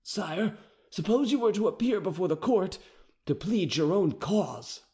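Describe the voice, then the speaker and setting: Male reader as an anxious junior officer: raised, thin voice, halting and deferential. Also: high-pitched, sing-song, darkish tone, voice pushed up, full character voice. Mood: nervous. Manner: faltering, stammering, deferential. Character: nervous young male army captain, hesitant. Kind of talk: audiobook character reading.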